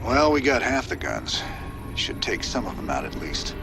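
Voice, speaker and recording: A man playing a gruff, wry rebel commander in a video game, drawling and cracking dry jokes. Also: medium pitch, sing-song, moderate pace. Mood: commanding. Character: gruff male space marshal, wry and laid-back. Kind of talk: video game cutscene and mission dialogue. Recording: game audio over loud music.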